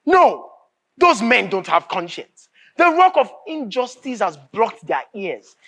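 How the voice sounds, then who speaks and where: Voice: mid-range voice, big pitch swings, slow pace, fairly bright tone, heavily acted. An actor with a Nigerian accent playing an anguished, bitter writer in a live stage drama, declaiming emphatically and repeating phrases. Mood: bitter, grieving. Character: anguished, bitter writer raging at a corrupt nation.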